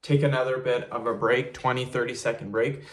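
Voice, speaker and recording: A man's voice: mid-range voice, fairly quick. Young man giving a casual tutorial. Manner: relaxed, repetitive, addresses viewers as "you guys". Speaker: fitness video host. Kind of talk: casual tutorial talk to camera.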